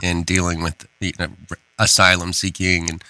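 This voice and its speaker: A man's voice: low-pitched, little pitch movement, moderate pace, sharp tone, smooth, no room sound. A man co-hosting a casual podcast, chatty, who often stumbles over his words. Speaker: podcast co-host.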